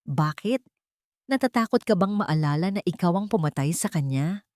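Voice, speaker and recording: A woman's voice: fairly low pitch, expressive, moderate pace, darkish tone, dry recording, clean studio sound, natural voice. A woman playing a haughty, bitter elderly rich mother who taunts with contempt, in an audio drama. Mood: scornful taunting. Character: haughty, cruel wealthy elderly mother, taunting her son.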